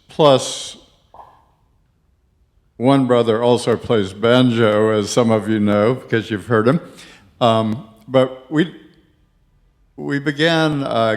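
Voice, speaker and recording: A man in the congregation sharing a family story at a church service, hesitant and full of pauses. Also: mid-range voice. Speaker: congregation member.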